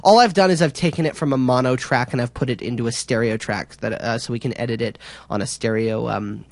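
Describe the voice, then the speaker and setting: A man giving an informal, somewhat halting software tutorial as an instructor. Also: mid-range voice.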